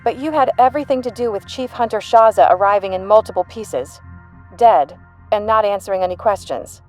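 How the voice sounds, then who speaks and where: A woman's voice: mid-range voice, brisk, soft background music, lightly acted. A woman playing a shrewd, unflappable human intelligence general in an audio drama, composed, dry and authoritative. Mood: stern.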